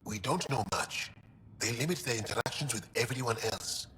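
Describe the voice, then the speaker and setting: A man's voice: medium pitch, lively intonation, normal speed, a bit bright, a little hiss or hum, lightly acted. An actor playing an earnest, thoughtful male alien scientist in a video game, explaining things a little hesitantly. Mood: hesitant, confiding. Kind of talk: video game dialogue acting. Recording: long reverb, music underneath.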